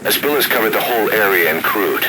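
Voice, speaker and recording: An actor playing a serious, composed military adviser, giving a measured scripted briefing to his commander. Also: on the low side, lively intonation, natural voice. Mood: businesslike, firm. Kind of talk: scripted mission briefing and exposition. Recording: loud helicopter and aircraft noise behind. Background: helicopter rotor and engine ambience.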